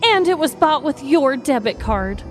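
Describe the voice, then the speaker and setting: A woman acting out a wry store cashier in a podcast story, with emphatic, animated delivery. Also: high voice, a little slow. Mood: amazed, revealing. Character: store cashier, smirking, matter-of-fact bearer of big news. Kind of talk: acted dialogue in a podcast story. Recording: music behind the voice.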